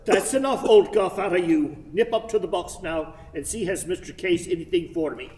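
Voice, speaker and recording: Man acting put-upon station master, curt, grudging, raised pitch, radio play, long reverb with faint noise. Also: moderate pace, close to an everyday voice. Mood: gruff, bossy.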